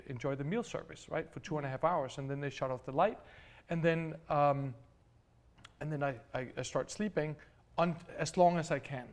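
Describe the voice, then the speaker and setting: A man from Denmark, a startup founder being interviewed, explaining things step by step with many restarts and fillers. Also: on the high side. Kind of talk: interview answers.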